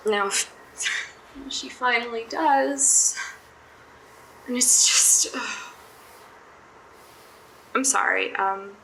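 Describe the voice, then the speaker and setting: A woman playing an anxious, self-doubting young psychiatry intern in an audio drama, halting and flustered as she speaks. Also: medium pitch, bright, forceful tone, slight background noise, poor audio. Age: young adult. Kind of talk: naturalistic audio drama acting.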